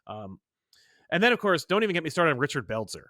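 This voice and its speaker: Bright, higher-voiced man chatting pop culture. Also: big pitch swings, fast, dry recording, clean studio sound. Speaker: podcast co-host. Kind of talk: casual pop culture chat.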